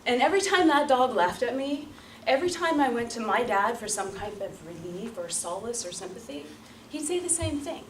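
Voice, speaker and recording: A woman delivering a rehearsed storytelling speech as a public speaker, theatrical and emphatic, with deliberate repetition and pauses. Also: on the high side, sing-song. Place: room before an audience. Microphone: distant microphone. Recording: roomy, distant mic, light background noise.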